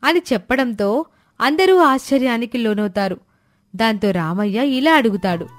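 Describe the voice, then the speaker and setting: A woman's voice: fairly high pitch, lively intonation, normal speed. A woman narrator telling a scripted moral story.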